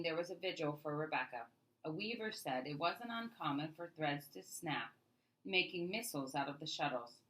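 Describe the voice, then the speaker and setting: Low, bright-voiced woman reading a storybook. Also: poor audio. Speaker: storybook reader. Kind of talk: scripted children's story narration, read aloud.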